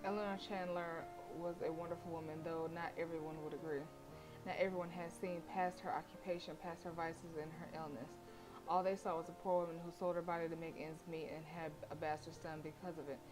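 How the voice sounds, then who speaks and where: Lowish-voiced woman streaming a story game. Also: level tone, a little fast, music in the background, low-quality recording. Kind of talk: reading visual novel narration aloud with casual reactions.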